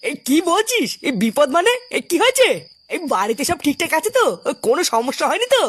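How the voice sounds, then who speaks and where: Woman acting an irritable rapid-fire Bengali family man. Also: sits high, big pitch swings. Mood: suspicious, startled. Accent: West Bengal Bengali. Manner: scolding, blunt. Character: suspicious irritable foul-mouthed family man friend. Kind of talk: comedy cartoon dub.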